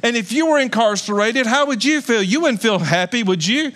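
Fifties male pastor, emphatic sermon voice pitched high, bright forceful edge. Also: animated, fairly quick. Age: 50s. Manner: emphatic, repetitive preaching with jokey asides.

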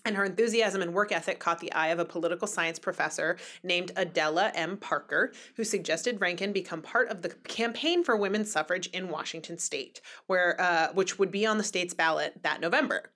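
A woman co-hosting a casual podcast, telling a history story in a relaxed, chatty way with asides and fillers. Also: lowish voice, a little fast, hardly any pauses.

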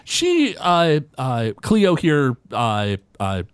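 A man's voice: mid-range voice, wildly swooping pitch, extremely slow, clean tone, no echo. A man narrating as game master of a tabletop game, rambling with many fillers.

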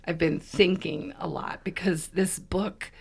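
A woman co-hosting a podcast, hoarse and raspy from laryngitis, thinking aloud in a rambling, casual way. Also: lowish voice, steady tone, a little slow, darkish tone. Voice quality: raspy, froggy voice. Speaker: podcast co-host. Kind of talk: casual conversation.

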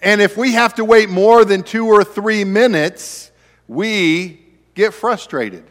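Pastor in his fifties: a high, unhurried man's voice with easy asides, ringing through a reverberant church hall. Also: fairly bright tone. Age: 50s. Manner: conversational, chatty asides to the congregation. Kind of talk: sermon. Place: church sanctuary.

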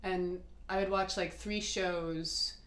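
A young woman telling relaxed personal stories in casual podcast chat. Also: lowish voice, takes it slowly, reverberant. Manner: relaxed storytelling, many fillers.

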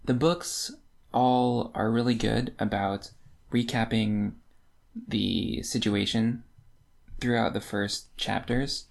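A man's voice: on the low side, fairly even intonation, slow, gravelly. A man in his twenties co-hosting a podcast in casual conversation about books. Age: 20s. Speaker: podcast co-host. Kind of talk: casual book discussion chat.

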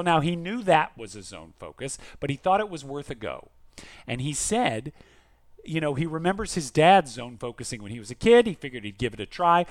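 Male podcast host, higher-pitched voice, very animated, theatrical and emphatic, jokey, reading letters casually. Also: no echo, clean studio sound.

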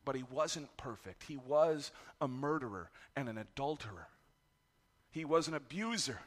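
A middle-aged man preaching a sermon as pastor, his voice raspy from yelling, candid and self-deprecating about his family struggles. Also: mid-range voice, lively intonation, moderate pace.

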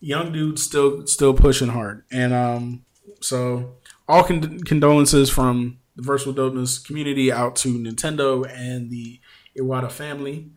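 A man's voice: mid-range voice, steady tone, measured pace. A man hosting a podcast, talking casually and informally in colloquial slang. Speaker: podcast host.

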